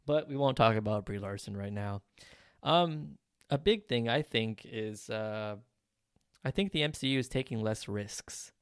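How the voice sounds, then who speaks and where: A man's voice: on the low side, measured pace, clean tone, no room sound, studio quality. A man hosting his own podcast, talking casually and with strong opinions, with plenty of ums and uhs. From Mexican heritage. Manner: chatty, opinionated, frequent fillers. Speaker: podcast host. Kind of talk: casual solo podcast talk.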